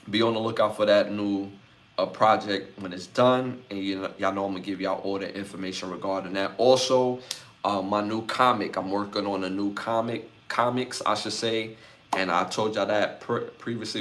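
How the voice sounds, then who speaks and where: Laid-back man in his forties, lowish voice. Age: 40s. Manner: laid-back, shouting out viewers by name. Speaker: podcast host. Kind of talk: casual livestream sign-off chat.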